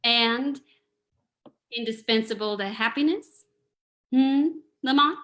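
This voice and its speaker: A spirited, teasing lady companion from a radio mystery, played by a woman. Her voice is bright and a little high, playful and mock-indignant. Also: slow pace. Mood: playful, chiding. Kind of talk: old-time radio acting.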